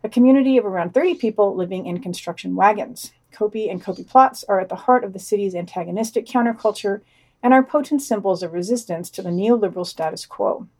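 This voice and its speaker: Deadpan woman reading anarchist news. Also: medium pitch, slightly rough, rough recording. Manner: deadpan, wry. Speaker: podcast news reader. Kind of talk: reading news summaries with dry asides.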